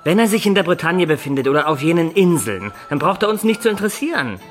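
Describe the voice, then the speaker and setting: An actor playing a sceptical, prying companion in a radio drama. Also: male voice, fairly high pitch, very animated, fast, faint music behind. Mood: impatient.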